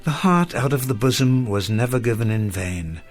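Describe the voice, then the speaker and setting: A man's voice: mid-range voice, animated, moderate pace, lightly acted. Man playing a courteous elderly professor over music. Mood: wistful recitation. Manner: courteous, wordy, old-fashioned formal phrasing. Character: gentle bookish elderly male literature professor, courteous, absent-minded. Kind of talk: radio drama acting.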